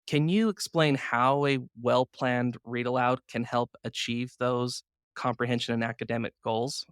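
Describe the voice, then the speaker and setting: A man of medium pitch speaks as the host of an educational interview. His delivery is conversational and earnest, as if he is thinking aloud. The sound is dry, with almost no room in it. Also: steady tone, measured pace, a bit bright, clear, resonant tone, no echo. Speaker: podcast host, former classroom teacher.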